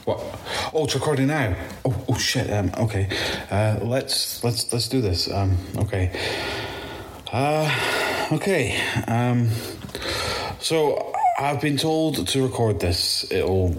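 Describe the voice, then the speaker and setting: Man acting halting Scottish apocalypse survivor, noisy recording. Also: medium pitch, expressive. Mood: flustered, hesitant. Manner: halting, hesitant, sweary. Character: weary, rattled male zombie-apocalypse survivor recording his testimony. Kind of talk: audio drama monologue as a found recording.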